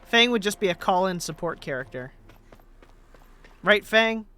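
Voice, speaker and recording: A man's voice: high voice, expressive, measured pace, pushed and bright, smooth. A man streaming a game live, rambling and joking with frequent swearing. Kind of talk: casual gaming livestream commentary. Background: game music.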